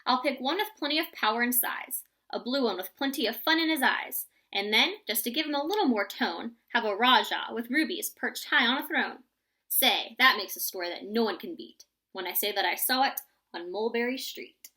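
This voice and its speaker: A woman reads as an imaginative young boy from a rhyming storybook. The voice is bright and fairly high, excitable, thinking aloud as each tall tale grows bigger. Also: normal speed. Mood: musing, excited.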